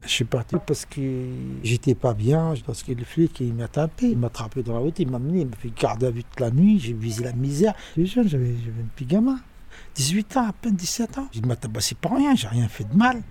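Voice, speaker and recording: A man in his sixties with a Tunisian accent, telling stories about his childhood in a halting, animated way. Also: breathy edge. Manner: animated, halting, with sudden pitch jumps. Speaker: interviewee. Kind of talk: personal storytelling.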